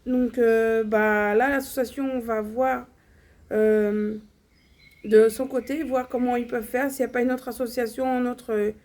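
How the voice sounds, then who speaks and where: A woman's voice: a little high, fairly even intonation, unhurried, darkish tone. A young woman talking casually and bluntly to her viewers, with many fillers and restarts. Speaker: vlogger. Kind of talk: casual personal vlog monologue.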